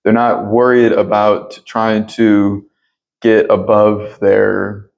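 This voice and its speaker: A man with a deep voice speaking earnestly as an interview guest about spiritual matters, often pausing to search for words. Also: completely flat delivery, creaky, a little mellow, highs cut off. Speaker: interview guest, yoga teacher and Reiki practitioner. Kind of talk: reflective spiritual conversation.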